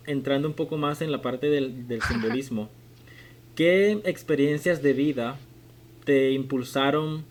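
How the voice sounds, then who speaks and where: Spanish-speaking man in his twenties hosting. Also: medium pitch. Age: 20s. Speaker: podcast host. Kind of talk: conversational interview.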